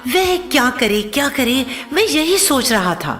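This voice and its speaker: A woman's voice: sits high, big pitch swings, measured pace, fairly bright tone. A woman narrating a scripted cartoon story as a storyteller.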